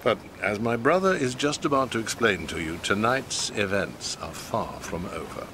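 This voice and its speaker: An English actor playing an imperious senior government man in a radio drama, measured and pompous. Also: on the low side, animated, in a large hall, noisy background, lightly acted. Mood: measured, knowing. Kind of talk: BBC radio drama acting.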